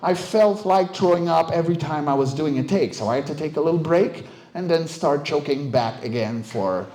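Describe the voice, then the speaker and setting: A man's voice: fairly high pitch, lively intonation, moderate pace, darkish tone. Middle-aged man giving a talk, echoey room. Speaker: voice actor, director and coach giving a talk. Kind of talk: informal conference talk, career anecdotes. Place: indoor event room, live talk. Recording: roomy, echoey live talk recording.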